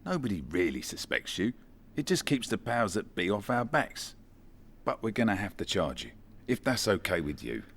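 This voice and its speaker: Man acting a deep-voiced police detective. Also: big pitch swings, normal speed, vocal fry, a bit bright, heavily acted. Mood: matter-of-fact. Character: male police detective inspector, matter-of-fact, faintly apologetic. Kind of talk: comedy radio play acting.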